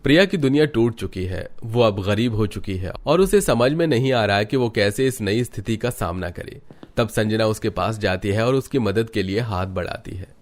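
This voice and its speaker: A man's voice: fairly low pitch, expressive, normal speed. A man reading scripted narration for a moral cartoon story.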